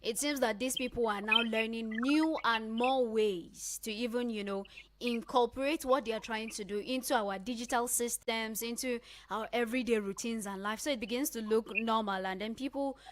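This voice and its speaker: A woman hosting a radio interview in a measured, attentive way. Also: a little high, slight background noise. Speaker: radio host.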